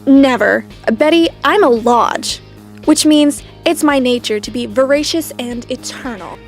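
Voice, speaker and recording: Brief: woman as a high-voiced, haughty heiress narrator. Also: a little slow, soft background music. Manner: haughty, dramatic storytelling. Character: spoiled rich teen girl, narrating to her father. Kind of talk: fan audio drama narration.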